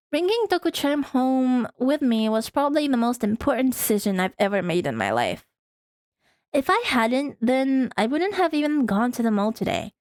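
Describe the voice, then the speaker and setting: A woman's voice: on the high side, no room sound, clean studio sound. A woman streaming a visual novel, reading the text aloud and breaking into playful, casual asides. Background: faint music.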